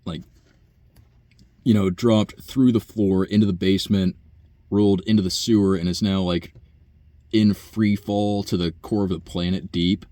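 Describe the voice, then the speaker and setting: A young man with a very low, buzzy voice talks in a loose, profane ramble, trailing off into fillers and sighs. The sound is close and dry, with no room around it. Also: fairly even intonation, measured pace, pauses often, warm tone, no echo. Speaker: vlogger. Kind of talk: rambling personal monologue.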